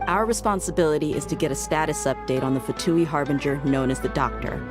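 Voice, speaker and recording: A woman's voice: low-pitched, fast talker, close to an everyday voice. A woman voicing a playful, teasing young female mercenary in a game. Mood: businesslike. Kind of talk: game voice acting. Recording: game music playing loud behind.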